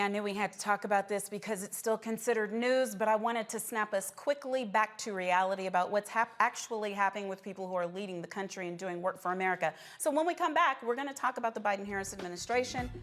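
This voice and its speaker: A woman's voice: mid-range voice, fast, few pauses, soft background music. A woman hosting a news talk show, speaking firmly as she presents and puts questions to panelists. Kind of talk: news commentary and panel moderating.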